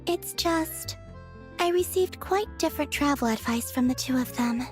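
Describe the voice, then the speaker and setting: A woman voicing a serene, naive young maiden in a video game, gentle and guileless. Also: high-pitched, normal speed, faint music behind, close to an everyday voice. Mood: calm.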